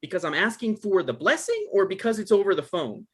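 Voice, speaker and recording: A man's voice: sits high, wildly swooping pitch, a bit bright, slightly rough, heavily acted. A man playing an anxious, apologetic male writer who gets defensive and stammers, in a screenplay table read. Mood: flustered, pleading. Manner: halting, stammering.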